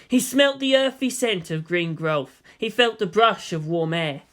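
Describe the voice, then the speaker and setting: Expressive audiobook narrator, medium pitch. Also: measured pace. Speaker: fan fiction audiobook narrator. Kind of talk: expressive scripted story narration.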